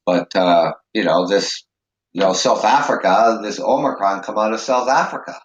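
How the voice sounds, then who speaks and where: A man with a lowish voice hosts a casual talk show, rambling with many fillers and restarts. His throat is sore and raw, and he holds back a cough. The sound is echoey and a bit muffled. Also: moderate pace. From Canada. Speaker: show host.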